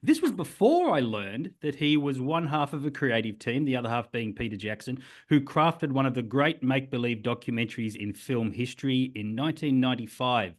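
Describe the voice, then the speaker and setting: A man hosts a podcast interview, sounding enthusiastic and admiring as he introduces and questions his guest. His voice is a man's ordinary middle pitch. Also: expressive, fairly quick, few pauses. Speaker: podcast host.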